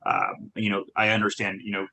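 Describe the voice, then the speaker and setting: A man from Southern California, a startup founder telling his background in a relaxed talk, with many restarts and fillers. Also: low voice, a little fast, creaky, a little hiss or hum. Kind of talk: conversational talk on a group call.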